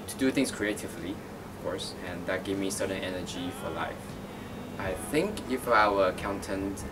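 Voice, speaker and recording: Man from Taiwan, artist interviewed, lowish voice, halting with fillers, distant mic, music behind. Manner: thoughtful, halting, many fillers and restarts. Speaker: artist and art teacher. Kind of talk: interview answers. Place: gallery.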